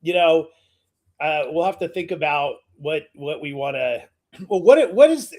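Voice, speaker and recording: A man hosting a casual video call chat, talking in a rambling, halting way with many fillers and restarts. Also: on the high side, animated, darkish tone. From Washington state. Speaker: host.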